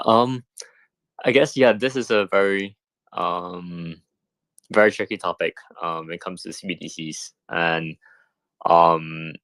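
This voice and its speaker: A man's voice: low-pitched, vocal fry, sharp tone, no room sound. A man speaking unscripted as a panel guest, tentative and thinking aloud as he goes. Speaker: panel guest, head of product at a crypto company.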